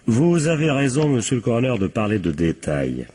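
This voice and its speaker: An actor playing a shrewd, confident police captain in a French radio crime drama, methodical, probing and wryly polite. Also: male voice, mid-range voice, big pitch swings, normal speed, warm tone, husky. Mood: confident, analytical.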